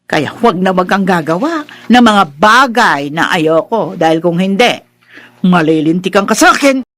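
A woman's voice: lowish voice, lively intonation, moderate pace, a little hiss or hum, close to an everyday voice. Actress as a haughty, swooping Filipino stepmother. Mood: stern, threatening. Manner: scolding, imperious, theatrical. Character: haughty, suspicious, bossy mother and cruel stepmother. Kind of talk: Filipino radio drama acting.

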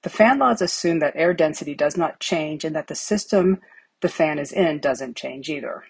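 A woman's voice: deep voice, moderate pace, breathy edge, no echo. A middle-aged woman who hosts and teaches an HVAC lesson, explaining equations step by step over a whiteboard. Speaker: HVAC instructor.

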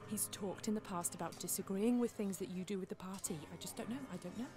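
A worried woman in an audio drama, played by an actress. She speaks at a medium pitch, hedging yet insistent and suspicious, with faint music underneath. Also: quick pace, close to an everyday voice. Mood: worried, evasive. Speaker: actor.

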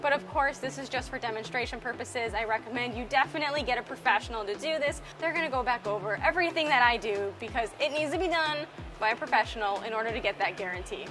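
A woman's voice: fairly high pitch, fast, over music. A young woman co-hosting a boating vlog, explaining each step of a job in an upbeat, step-by-step way inside a big boat storage warehouse. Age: young adult. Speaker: co-host of a boating channel. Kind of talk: casual how-to vlog narration.

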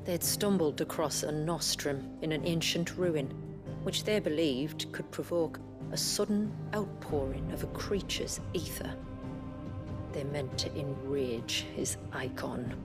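An actress playing a grave village woman who recounts her people's tragic past in a measured, formal, earnest way for a video game. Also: deep voice, music in the background. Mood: solemn. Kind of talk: solemn scripted storytelling in video game drama.

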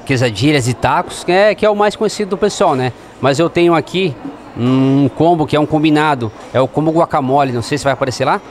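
A man's voice: fairly high pitch, slight background noise. Plain-spoken man in his thirties. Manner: plain, explanatory, frequent "né" tags. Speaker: restaurant manager. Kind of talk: interview answers. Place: indoor restaurant dining room. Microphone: handheld microphone held by host.

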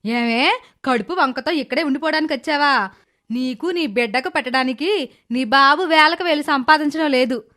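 A woman's voice: high voice, moderate pace, pushed and bright. A woman voicing a bitter, hot-tempered stepmother who scolds and complains in a dramatized moral story. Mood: angry, scolding. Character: bitter, hot-tempered stepmother, grudging housewife and doting mother.

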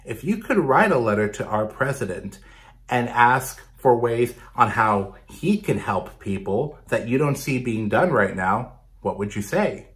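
A man in his thirties reading a picture book aloud to children in an expressive way, talking to them directly. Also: medium pitch. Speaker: storytime reader for children. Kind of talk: animated picture-book read-aloud with asides to young viewers. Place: small bedroom at home, indoors.

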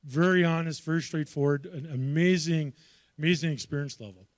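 A man's voice: a little high, brisk, dry recording. A middle-aged man moderating an event, introducing the guest admiringly on a handheld mic while losing his voice. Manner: warm, admiring, a few restarts. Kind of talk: introducing a speaker. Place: panel table, conference room. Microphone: handheld microphone.

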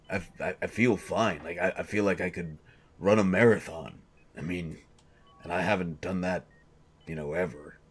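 A man playing a grumpy, irritable coach who grumbles and complains defensively in an audio drama. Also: low voice. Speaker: voice actor.

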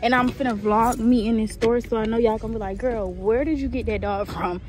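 A woman in her early twenties chatting casually to her phone camera in a vlog, slangy and excitable, squealing high when thrilled. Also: a little fast, music in the background. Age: early 20s. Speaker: vlogger. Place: apartment rooms, then parked car and outdoor parking lot. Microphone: phone held at arm's length.

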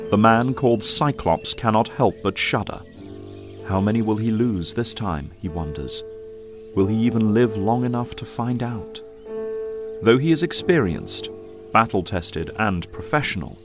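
A man's voice: lowish voice, very animated, a little slow. A man reading scripted dramatic narration as the narrator of an audio drama. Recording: music bed under the voice. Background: music and effects.

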